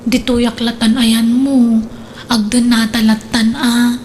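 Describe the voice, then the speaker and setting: An anxious college girl in a radio drama. Her voice is very high, and there is some background noise on the track. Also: little pitch movement, slow pace, a little mellow. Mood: pleading, resigned. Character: anxious young female student hiding a pregnancy. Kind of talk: Ilocano radio drama acting.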